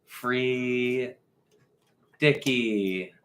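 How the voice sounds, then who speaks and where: A man co-hosting a casual chat who throws in wisecracks and quick one-liners. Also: mid-range voice, a bit bright. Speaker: podcast co-host.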